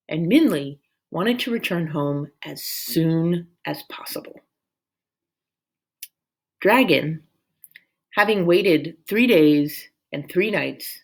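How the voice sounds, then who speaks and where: A woman reading a children's novel aloud as expressive storybook narration. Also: low-pitched.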